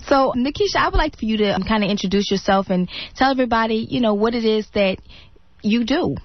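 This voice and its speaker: A woman's voice: fairly high pitch, expressive, a little fast, long pauses, no echo, dull audio. A woman hosting a radio talk show, friendly and chatty, who laughs easily. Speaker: radio host.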